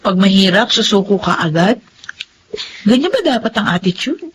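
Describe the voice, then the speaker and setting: A woman playing a spirited, outspoken young working student in a Filipino drama, brisk and frank with advice. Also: medium pitch, sing-song, a little hiss or hum, close to an everyday voice. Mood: blunt. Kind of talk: Filipino radio drama acting.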